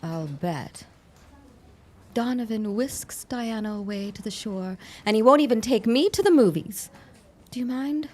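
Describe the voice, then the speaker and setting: A woman's voice: a little high, animated, unhurried, a little mellow. A woman acting a brusque, flirtatious and world-weary secretary in a 1910s period audio drama, with curt, slangy, sardonic delivery. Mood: irritated, sardonic.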